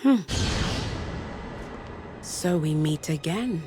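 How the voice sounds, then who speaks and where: A woman's voice: deep voice, lively intonation, extremely slow, exaggerated voice. A woman playing a self-assured, world-weary rival Valkyrie in a video game, languid, teasing and condescending. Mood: amused, taunting. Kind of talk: video game cutscene acting. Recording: music behind the voice.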